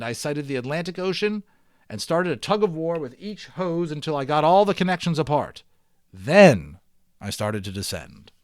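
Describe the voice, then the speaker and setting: Expressive man reading aloud, dry sound. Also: on the high side, no echo. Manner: expressive, folksy. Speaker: reader and host of a read-aloud series. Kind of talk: dramatic storybook read-aloud with casual asides.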